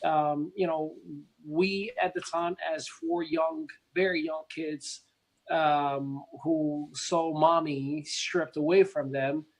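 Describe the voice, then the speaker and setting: A man's voice: fairly high pitch, lively intonation, slow pace, vocal fry, rough recording. Earnest Moroccan man telling his story. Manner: earnest, repeats words and restarts, many fillers. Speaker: interview guest. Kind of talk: conversational storytelling interview.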